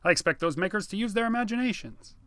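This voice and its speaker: Narrator playing an agitated man, insistent, exasperated, raised pitch, emphatic stresses, dry audiobook sound. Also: animated, quick pace, pushed and bright, dry recording. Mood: musing, animated. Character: man obsessed with future, agitated, animated talker.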